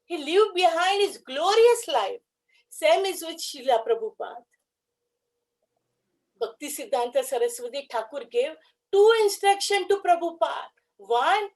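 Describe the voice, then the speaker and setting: A woman giving an earnest devotional tribute talk, speaking in short phrases with pauses. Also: sits high, lively intonation, darkish tone, low-quality recording.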